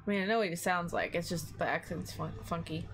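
A woman's voice: lowish voice, fast, a bit bright, slight background noise. A woman game streamer reading on-screen text aloud and chatting casually on a live stream. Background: game music.

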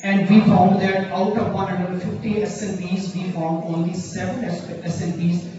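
A man's voice: high-pitched, level tone, background noise. Pakistani man lecturing in an echoey hall. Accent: Pakistani English. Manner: halting, many fillers and counted lists. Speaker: keynote speaker. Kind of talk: conference science talk. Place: large auditorium, at a podium. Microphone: podium mic, recorded from the audience. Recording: roomy hall, distant dull phone recording.

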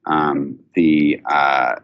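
A middle-aged man answering business questions conversationally as an executive guest, thoughtful and halting, over a video call. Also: rumbling low, level tone. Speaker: company chief executive, guest. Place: indoor office, video call. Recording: dull video call audio.

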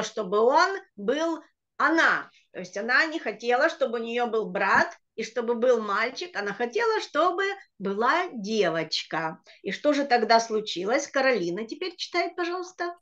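A woman's voice: on the high side, very animated, fairly bright tone. A middle-aged woman leading an online Hebrew reading lesson in Russian, patiently sounding out words and explaining their meaning. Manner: patient, slow and deliberate when sounding out words. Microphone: computer mic on a video call. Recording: dull lo-fi video call audio.

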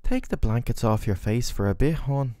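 A man's voice: mid-range voice, expressive, normal speed, dark tone, no room sound, clean studio sound, close to an everyday voice. A man playing a worried, tender boyfriend in an audio roleplay, speaking in a soothing, earnest, affectionate way. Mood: gentle, caring. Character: worried, tender, doting boyfriend caring for his sick partner. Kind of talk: scripted boyfriend roleplay ASMR.

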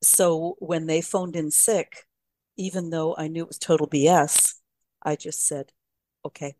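An older woman hosting a podcast, telling anecdotes in a thoughtful, measured way. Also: low-pitched, steady tone, dark tone, a little hiss or hum. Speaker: podcast host. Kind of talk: casual conversation.

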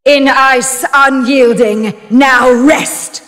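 Proud warrior goddess, fierce, grand archaic declamation, slow delivery, long hall reverb, fantasy game boss. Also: female voice, high-pitched, fairly even intonation, a bit bright, exaggerated voice. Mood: fierce, exultant.

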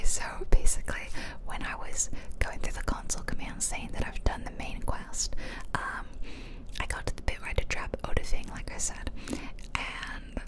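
A woman whispering casual, chatty ASMR commentary over a video game, rambling along close to the microphone. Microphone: close microphone, ear-to-ear whispering.

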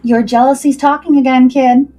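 A woman's voice: sits high, steady tone, normal speed, mellow, soft background music. A woman voicing a cocky young female pirate helmswoman who banters smugly in a story. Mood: smug teasing. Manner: smug, bantering.

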